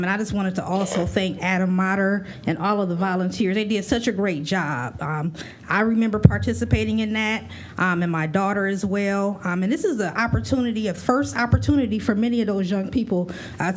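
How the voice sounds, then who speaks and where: A woman board member gives earnest remarks at a school board meeting, often pausing on fillers. Her pitch is medium. Faint noise sits in the background.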